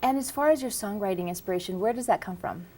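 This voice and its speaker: A woman with a mid-range voice hosting a morning TV show. She is cheerful and upbeat and keeps the interview moving with brisk, friendly questions. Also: medium pitch, a little hiss or hum. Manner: bright, cheerful, with brisk prompts. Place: TV studio.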